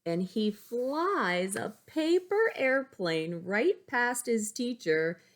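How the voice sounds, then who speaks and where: A woman teacher reading a picture book aloud to young pupils, expressive, with chatty asides. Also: a little high, slow. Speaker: elementary school teacher.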